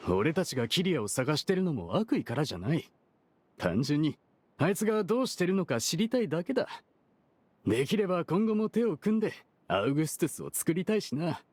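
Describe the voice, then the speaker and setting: Brash schemer, higher male voice, very animated delivery, rough casual wording, argumentative, anime game dub. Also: a little fast. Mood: defensive, persuasive. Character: brash, shifty adult male perfume merchant and schemer. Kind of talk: Japanese anime game dubbing.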